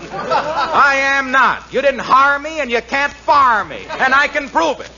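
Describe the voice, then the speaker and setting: An actor playing an eager radio announcer in the polished style of 1940s advertising patter. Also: male voice, extremely high pitch, a little slow. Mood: defiant. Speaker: commercial announcer. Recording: muffled, noisy 1940s radio recording.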